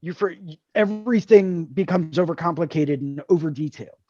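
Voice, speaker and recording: A man's voice: on the high side, brisk, darkish tone, dry recording, lo-fi sound. A man hosting a tattoo drawing group over a video call, explaining ideas earnestly and repeating key words. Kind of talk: casual mentoring chat on a video call.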